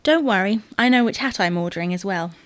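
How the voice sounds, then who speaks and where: A fussy wife played by a woman in a domestic audio comedy. She bickers in an insistent, theatrically indignant way at a medium pitch. The sound is dry, with no room on it. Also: expressive, fast talker, no room sound, natural voice. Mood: dry, teasing. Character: fussy, exasperated wife fretting over wedding clothes. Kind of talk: domestic audio sitcom acting.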